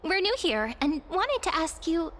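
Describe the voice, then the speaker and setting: An actress playing a friendly, curious young adventurer companion in a video game, gentle and thoughtful in her delivery. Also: very high-pitched, sing-song, a little slow, a little hiss or hum, heavily acted. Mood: polite, curious. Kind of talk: video game character acting.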